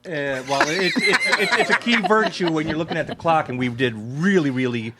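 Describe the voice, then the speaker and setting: An American man hosting a live radio event, chatting off the cuff in a halting, repetitive, effusive way. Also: on the high side, expressive, heavy background noise. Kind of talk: casual, unscripted thanks and chat.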